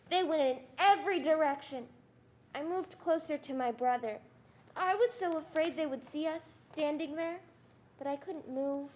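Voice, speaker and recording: A woman's voice: very high voice, slight background noise. A young woman playing a wide-eyed narrator who recalls a frightening childhood scene, in scripted stage narration. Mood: hushed fear. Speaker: student reader in a reader's theatre performance.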